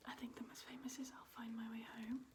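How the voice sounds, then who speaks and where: A woman whispering in a breathy, unvoiced voice, chatting casually and hesitantly in an ASMR style as a video host. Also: a little high, level tone, fast talker, background noise. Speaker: video host showing a record collection.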